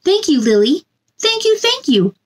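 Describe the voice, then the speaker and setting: A woman's voice: extremely high pitch, big pitch swings, moderate pace. A woman in her thirties hosting a story time for children, speaking expressively and simply to young listeners. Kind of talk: picture book read-aloud and chat to young viewers. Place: small indoor room.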